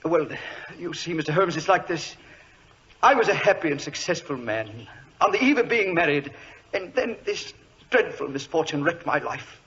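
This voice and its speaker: An actor playing a high-strung, well-bred young government clerk in a 1940s radio drama, polite, excitable and wordy. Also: male voice, normal speed. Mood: distraught, nervous. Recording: muffled, noisy vintage radio recording.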